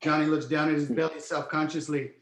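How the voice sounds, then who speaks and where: A man's voice: on the high side, flat delivery, a little fast, reverberant. A man narrating stage directions in a scripted screenplay table read.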